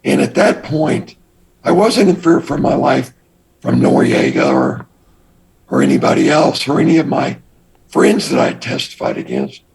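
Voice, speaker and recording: An older man with a raspy voice, a guest telling his past story in a measured, deliberate way. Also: medium pitch, wildly swooping pitch, a little mellow. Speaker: interview guest. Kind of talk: recounting his past in an interview.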